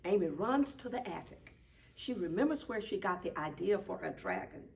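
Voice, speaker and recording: A woman reading a children's picture book aloud at story time, expressive and deliberate. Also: mid-range voice, vocal fry. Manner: deliberate, animated. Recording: distant mic, faint background noise.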